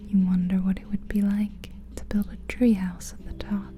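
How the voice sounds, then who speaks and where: A woman whispering gently in a breathy, soothing ASMR style, guiding a relaxing visualization with long unhurried pauses. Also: medium pitch, level tone. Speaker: ASMR guide narrating a guided visualization. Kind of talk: soft-spoken ASMR guided visualization. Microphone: close-up ear-to-ear ASMR microphone.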